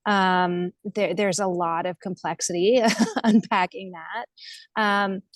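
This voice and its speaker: A woman's voice: mid-range voice, steady tone, takes it slowly, dry recording, clean studio sound. An American woman speaking informally as a webinar guest, thoughtful, hesitant and self-effacing as she reflects. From United States. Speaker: guest speaker, nonprofit leader. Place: online video call.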